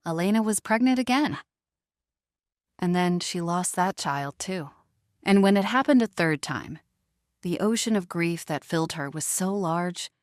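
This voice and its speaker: A woman with a lowish voice narrates a dramatic story. Her delivery is measured and emotive, captured close and dry with no room sound. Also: clean tone, dry recording.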